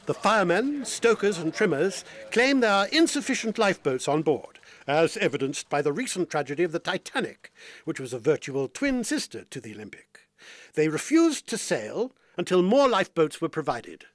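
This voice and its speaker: An actor playing an animated 1912 newspaper man reading a report in a radio drama. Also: fairly high pitch, moderate pace, no echo.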